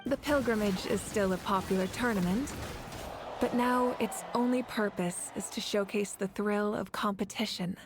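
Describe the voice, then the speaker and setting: An actress playing a solemn, resolute woman who leads a nation, speaking in measured, earnest and formal lines with tender grief. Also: mid-range voice, close to an everyday voice. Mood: tender, reflective. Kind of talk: dramatic video game voice acting. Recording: orchestral game music behind.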